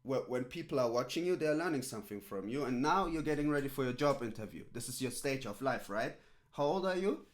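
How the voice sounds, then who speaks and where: A man's voice: mid-range voice, expressive, brisk. Rambling streamer man. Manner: rambling, filler-heavy, repeats "right?" and "literally". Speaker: streamer coaching a viewer. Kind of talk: casual live-stream chat.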